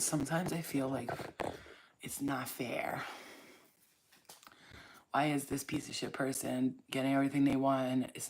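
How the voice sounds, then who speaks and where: A woman speaks in a low, husky voice, loose and casual. She talks straight to her viewers as a spiritual reader, blunt and preachy, swearing freely and never softening her point. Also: low-quality recording. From Staten Island, New York. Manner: blunt, profane, preachy, streetwise. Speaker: spiritual reader and channel host. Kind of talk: casual talk to camera.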